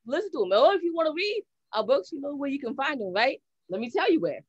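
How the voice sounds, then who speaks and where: A woman's voice: high voice, sing-song, fast, no room sound. A woman co-hosting a podcast with playful, folksy banter, often saying y'all, on slightly muffled audio. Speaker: podcast co-host. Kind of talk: casual chat, banter.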